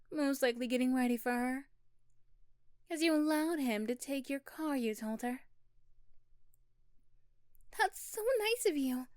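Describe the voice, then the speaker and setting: A woman narrating a scripted ASMR story in a hushed, dramatic way, often dropping toward a whisper. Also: sits high, dry recording, studio quality. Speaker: narrator of audio roleplay.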